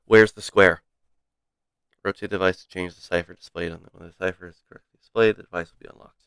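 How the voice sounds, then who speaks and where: A man's voice: low-pitched, steady tone, fast talker, fairly bright tone, clean tone, dry recording. A man giving commentary on a game livestream and reading on-screen text aloud.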